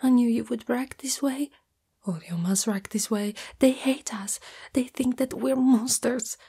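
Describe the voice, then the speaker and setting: A woman playing a shy, loving young spider-girl in an intimate, breathy whispered ASMR roleplay, speaking hesitantly and afraid of rejection. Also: on the high side, a little slow, dark tone, exaggerated voice. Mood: hurt, pleading. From Italy.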